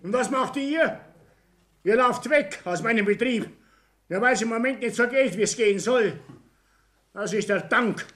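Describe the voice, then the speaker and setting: A man's voice: very high-pitched, a little slow, long pauses, fairly bright tone. A man acting a proud, aggrieved Bavarian business owner in a 1960s German radio play, self-righteous and blustering. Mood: bitter, reproachful.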